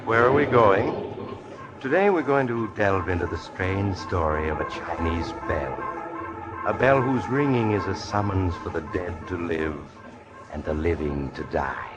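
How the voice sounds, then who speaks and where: Sinister, suave traveler-narrator, low voice, theatrical and ominous, vintage radio, loud music behind. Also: male voice, animated, unhurried, highs cut off. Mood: ominous, storytelling. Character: sinister, suave mysterious male traveler narrating eerie tales. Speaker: host and narrator. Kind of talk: 1940s radio mystery narration.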